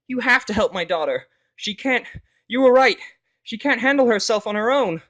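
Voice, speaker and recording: Pony businessman and distraught dad played by a male reader, strained high pitch, pleading, halting, fan audio drama. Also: lively intonation, a bit bright. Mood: desperate. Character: proud business stallion, a desperate, pleading father. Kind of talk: fan fiction audio drama character acting.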